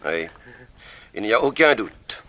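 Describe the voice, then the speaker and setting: A man acting an authoritative, slightly slow-witted police officer with an official, theatrical manner in 1960s French radio drama. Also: on the low side, sing-song, sharp tone, heavily acted. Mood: commanding.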